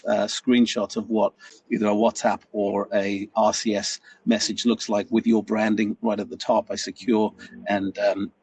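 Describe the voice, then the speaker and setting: A man's voice: on the low side, darkish tone, husky, dry recording, distant mic. A man presenting slides at an online conference, talking steadily with frequent "uh" fillers. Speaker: webinar presenter.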